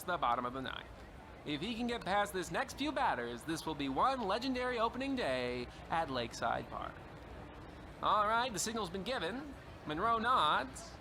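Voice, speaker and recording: Male actor as play-by-play baseball caller for radio comedy, high and very lively pitch, florid suspense, noisy outdoor ambience. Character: excitable old-time radio baseball play-by-play announcer. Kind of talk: parody sports commentary.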